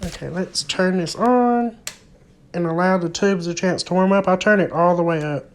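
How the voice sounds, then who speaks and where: A man's voice: high-pitched, moderate pace, a little hiss or hum. Young man explaining a repair. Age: young adult. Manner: explanatory, step by step. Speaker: hobbyist vlogger. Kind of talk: casual hands-on repair demonstration. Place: indoor room at home, at a wooden table. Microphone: handheld phone close by.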